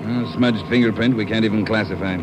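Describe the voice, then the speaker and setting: A man playing a gruff, urgent chief of detectives with clipped 1940s radio acting. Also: lowish voice, fairly even intonation, brisk, close to an everyday voice. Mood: grimly urgent. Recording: noisy old radio recording, car sounds.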